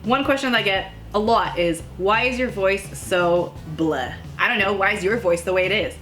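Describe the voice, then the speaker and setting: A woman with a notably low voice chatting casually about vegan life, a bit snarky, with lots of fillers. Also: moderate pace, over music. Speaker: vegan food YouTuber. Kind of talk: casual chat.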